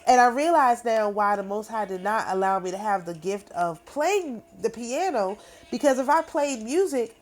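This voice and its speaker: A middle-aged woman talking casually to camera in an emphatic, repetitive, boastful way. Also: fairly high pitch. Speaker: spiritual channel host. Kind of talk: casual spoken monologue.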